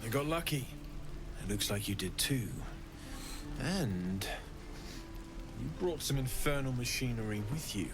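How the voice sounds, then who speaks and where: An actor playing a friendly, grumbling fantasy blacksmith in a video game, curious and matter-of-fact. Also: male voice, medium pitch, lively intonation, a little slow, pauses often, music in the background. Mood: casual. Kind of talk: video game character acting.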